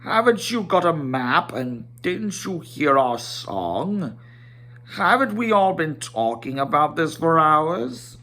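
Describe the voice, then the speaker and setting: A man's voice: on the high side, sing-song, slow, pushed and bright, full character voice. A man voicing a proud, long-winded dwarf leader recounting family history in a storybook. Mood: impatient. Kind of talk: storybook character voice.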